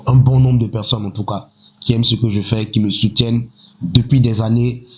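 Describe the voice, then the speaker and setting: A man in his thirties from Côte d'Ivoire, a rapper speaking in a measured, self-assured way, often pausing on "voilà" and asking himself rhetorical questions. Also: mid-range voice, fairly even intonation. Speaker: rapper, interview guest. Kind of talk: casual interview talk. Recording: slightly muffled, lo-fi sound.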